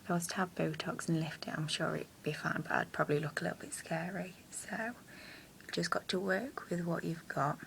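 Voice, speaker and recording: A young woman with a croaky voice, chatting casually through a makeup tutorial at home with hesitant fillers. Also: lowish voice, steady tone, brisk, a bit bright, a little hiss or hum, rough recording. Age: young adult. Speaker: makeup vlogger. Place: small room at home, indoors. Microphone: built-in camera mic at close range.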